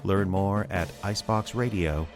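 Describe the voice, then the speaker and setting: Very deep-voiced male announcer. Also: measured pace. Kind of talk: scripted credits narration. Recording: music bed behind the voice.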